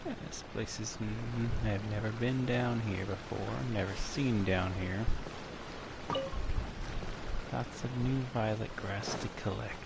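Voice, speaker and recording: Slow, gravelly man chatting over a game. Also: on the low side, fairly even intonation. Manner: rambling, talking to himself. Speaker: game streamer. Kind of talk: casual gameplay commentary. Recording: loud constant background noise, game ambience.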